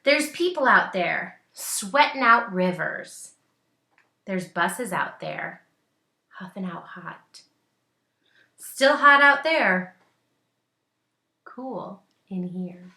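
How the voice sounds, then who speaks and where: A woman teacher reading a picture book aloud expressively, pausing to put simple questions to young listeners. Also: medium pitch, animated, bright, forceful tone, reverberant.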